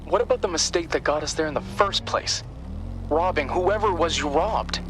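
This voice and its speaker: An actor playing a sharp young male hacker ally in a video game, conversational, probing and dry-witted. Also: on the high side, normal speed, lightly acted, poor audio. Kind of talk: dramatic game cutscene acting. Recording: music behind the voice.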